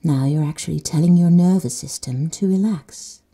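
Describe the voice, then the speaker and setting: Breathy, mellow woman guiding sleep. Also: low-pitched. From Netherlands. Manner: slow and soothing, dropping to soft whispers. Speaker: meditation guide. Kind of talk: guided sleep meditation.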